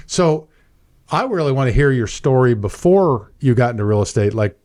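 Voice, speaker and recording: A man's voice: mid-range voice, lively intonation, moderate pace, a little mellow. Easygoing male podcast host. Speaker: podcast host, mortgage broker. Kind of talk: podcast chat and scripted intro.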